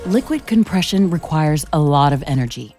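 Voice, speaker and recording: Female commando scientist, analytical, composed, soft-edged mellow tone, light game music behind. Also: sits low, lively intonation, moderate pace. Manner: composed, matter-of-fact, analytical. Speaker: game protagonist. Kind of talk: scripted video game dialogue.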